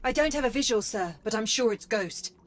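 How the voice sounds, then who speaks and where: An actress playing a polite, attentive AI assistant in a video game, composed and deferential. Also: female voice, on the high side, expressive, brisk, lightly acted. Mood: alert.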